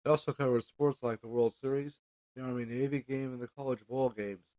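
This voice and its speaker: Male American podcast host, mid-pitched, halting informal delivery, very dry recording with no room sound. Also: steady tone, darkish tone, no echo. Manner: halting delivery with frequent hesitations and self-corrections. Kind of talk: informal solo podcast monologue with news and sports recap.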